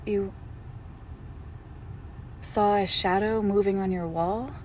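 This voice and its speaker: A woman playing a doting, possessive girlfriend who is secretly a monster, in an intimate, hushed roleplay audio drama. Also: mid-range voice, fairly even intonation, a little hiss or hum, natural voice. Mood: soothing. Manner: slow, hushed, tender, often dropping to a whisper.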